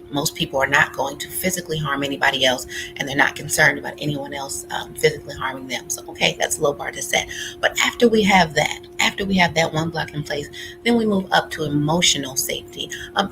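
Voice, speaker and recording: Woman giving a talk, creaky voice. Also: deep voice, fast, music in the background, low-quality recording. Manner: conversational, acts out example phrases. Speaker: workshop speaker on relationships. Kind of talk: informal lecture to an audience, explanatory.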